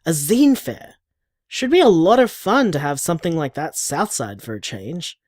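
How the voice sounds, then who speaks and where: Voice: mid-range voice, huge pitch swings, normal speed, darkish tone, no echo, studio quality. An actor playing an affectionate bar co-owner who leaves upbeat voice messages for a partner. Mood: cheerful. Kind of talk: audio drama voice message.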